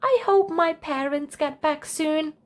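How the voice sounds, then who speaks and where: A woman's voice: high voice, slow, voice pushed up. A woman voicing a young boy giraffe in a storybook, plaintive, curious and full of questions. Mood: wistful. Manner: pleading, questioning.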